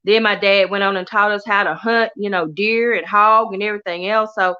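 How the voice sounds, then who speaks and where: Lively Texan woman livestream host. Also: medium pitch, a little fast, clean tone, lo-fi sound. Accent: Southern US, Texas. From Texas. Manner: animated, laughs often, chatty and warm toward viewers. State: coughing from allergies. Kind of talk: casual livestream chat reading comments.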